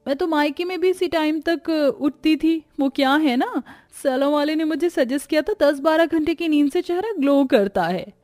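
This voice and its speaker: Woman playing a shrill, haughty young beauty. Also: moderate pace, hardly any pauses, darkish tone, no echo, studio quality, soft background music, lightly acted. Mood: haughty, irritated. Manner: taunting, boastful, whiny. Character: vain, haughty young woman mocking her sister.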